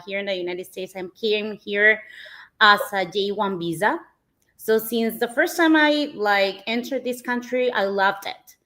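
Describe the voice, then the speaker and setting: A woman's voice: medium pitch, bright, forceful tone. A woman from Peru whose first language is Spanish, telling her own story as a guest in a friendly, eager way. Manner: friendly and eager, many fillers.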